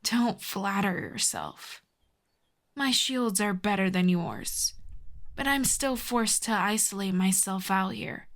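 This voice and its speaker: A woman playing a proud telepathic villainess with a soft spot, in an intimate ASMR roleplay, haughty and teasing. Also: medium pitch. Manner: haughty, teasing, slow deliberate delivery with soft whispers. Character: proud telepathic villainess, secretly fond of a hero.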